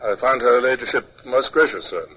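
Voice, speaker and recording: An actor playing a stiff, dutiful British naval captain, formal and halting, in 1950s radio drama style. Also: male voice, mid-range voice, husky, close to an everyday voice. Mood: deferential, awkward. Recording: dull, noisy 1950s radio recording.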